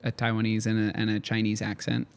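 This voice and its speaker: A man's voice: fairly low pitch, completely flat delivery, fast, creaky. A young adult man co-hosting a podcast in a clothing store, chatting casually and rambling with lots of "like". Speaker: podcast co-host. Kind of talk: casual chat.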